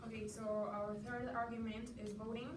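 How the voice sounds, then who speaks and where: A woman's voice: fairly high pitch, level tone, normal speed. Young mexican woman debating in class, mid voice, lots of echo and room noise. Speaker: student debater. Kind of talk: prepared argument in a classroom debate. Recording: echoey, noisy room, distant mic.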